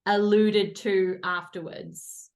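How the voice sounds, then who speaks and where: A woman's voice: mid-range voice, little pitch movement, takes it slowly. A woman hosting a podcast, chatting casually with a guest and laughing often, with many "like" fillers. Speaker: podcast host. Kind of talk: casual interview chat.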